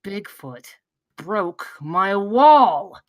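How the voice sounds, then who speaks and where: A woman's voice: mid-range voice, very animated, drawn-out words, dry recording. A woman playing a frightened, defensive gamer dad who pleads and protests in exasperation at a comedy table read. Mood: indignant.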